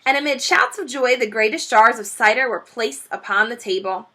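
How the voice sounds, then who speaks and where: A woman teacher reading a children's book aloud, expressive and stopping to point out the pictures. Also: fairly high pitch, normal speed. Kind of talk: children's read-aloud storytelling.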